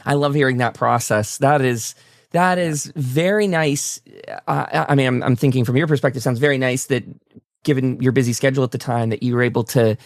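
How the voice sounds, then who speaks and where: A man hosting a casual interview, enthusiastic and encouraging, with halting, stammered starts to his sentences. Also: mid-range voice, dry recording, clean studio sound. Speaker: talk show host, interviewer.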